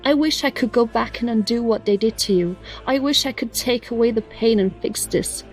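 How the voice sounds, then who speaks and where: A woman's voice: on the high side, moderate pace, close to an everyday voice. A woman playing a loving, resolute young heroine in an audio drama, speaking with earnest emotion. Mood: pleading. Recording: music under the voice.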